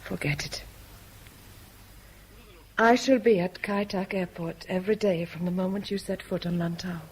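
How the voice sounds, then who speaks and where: A woman's voice: fairly low pitch, fairly even intonation, moderate pace, darkish tone, husky. A woman playing an enigmatic, proud lover in a radio drama, with a cool, theatrical intensity. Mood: hushed, urgent. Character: enigmatic, proud woman caught between two rival men. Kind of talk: 1970s British radio drama acting. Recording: faintly noisy vintage radio broadcast.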